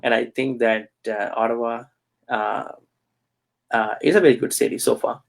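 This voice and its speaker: Gravelly hesitant Sri Lankan-born monk. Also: male voice, medium pitch, fairly even intonation, moderate pace. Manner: hesitant, many fillers. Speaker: Buddhist monk. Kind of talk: casual interview answers.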